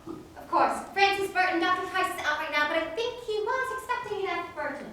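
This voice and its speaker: A woman's voice: very high voice, lively intonation, fairly quick, a little hiss or hum, heavily acted. A woman playing a snooty, teasing asylum staffer in a stage musical, arch and theatrical. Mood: airy. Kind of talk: live stage musical acting. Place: staged reading before a live audience. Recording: echoey hall, distant mic, loud music.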